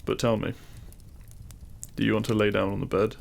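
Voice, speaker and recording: An actor playing a demon king, a deliberate and intimate lover, speaking straight to the listener in a romantic audio roleplay. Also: fairly low pitch, level tone, gravelly, a little hiss or hum. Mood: loving, gentle. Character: feared demon king, menacing in public, tender lover in private.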